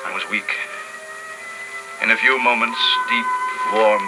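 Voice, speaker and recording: An actor playing an earnest, shaken young ecologist who survives alone, narrating a 1950s radio drama with dramatic, halting pauses. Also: male voice, lowish voice, fairly even intonation, close to an everyday voice, low-quality recording. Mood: tense, suffering. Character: lone male ecology student, snakebitten survivor, earnest and shaken. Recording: vintage 1950s radio recording, music behind.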